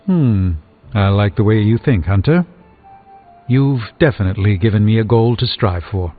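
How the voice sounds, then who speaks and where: A man's voice: fairly low pitch, animated, normal speed, lightly acted. A man playing a proud, wry sorcerer and mentor in a video game, speaking in a formal, articulate, dryly pompous way. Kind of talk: scripted video game dialogue. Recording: phone-like narrow band, music behind.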